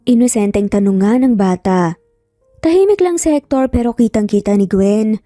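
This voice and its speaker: Female narrator, Filipino accent, dramatic scripted storytelling, slightly raised pitch, mellow tone, soft music bed.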